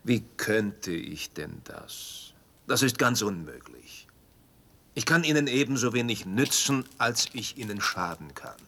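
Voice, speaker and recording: An actor playing a reluctant, guarded visiting researcher in a German radio play, formal and reserved. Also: male voice, fairly low pitch, big pitch swings, a little slow, vocal fry, a bit bright, exaggerated voice. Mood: guarded, refusing.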